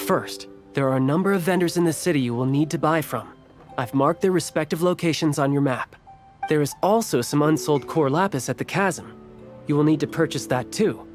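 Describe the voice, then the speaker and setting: A courtly young bookish nobleman, played by a man with a highish male voice. He speaks with formal, articulate politeness and composure, as in game dialogue with music behind him. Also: lightly acted.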